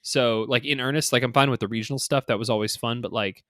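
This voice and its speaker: A man's voice: mid-range voice, steady tone, fast, fairly bright tone, no echo, studio quality. A man co-hosting a gaming podcast in casual, conversational chat, often dropping in 'like' and 'you know'. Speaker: podcast co-host.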